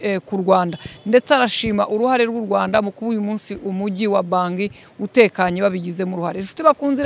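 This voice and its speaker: A woman reporter rattles off a field news report at a brisk clip. Her voice sits high, with a gravelly, creaky edge, and her delivery stays formal throughout.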